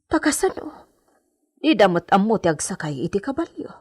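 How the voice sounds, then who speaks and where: A woman's voice: mid-range voice, sing-song, moderate pace, darkish tone, breathy edge. A woman playing an anxious, deferential maidservant in a radio drama, with an Ilocano accent and a hesitant, pleading way of talking. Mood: flustered.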